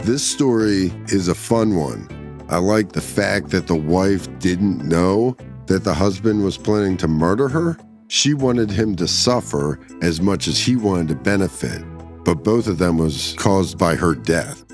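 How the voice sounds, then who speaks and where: A man with a low voice hosts a short story podcast. He speaks as a storyteller, reading and remarking on classic fiction. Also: expressive, measured pace, faint music behind. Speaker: story podcast narrator and host. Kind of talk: scripted story narration with casual commentary.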